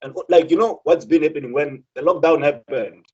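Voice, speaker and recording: A man's voice: a little high, a little fast, breathy edge, highs cut off. A young South African man speaking earnestly, with many repeated words and restarts, as a student representative. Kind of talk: impassioned spoken talk.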